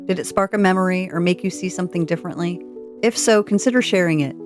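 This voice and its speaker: A woman's voice: fairly low pitch, quick pace, music in the background. A woman podcast host delivering scripted narration, reading aloud and reflecting on what she reads.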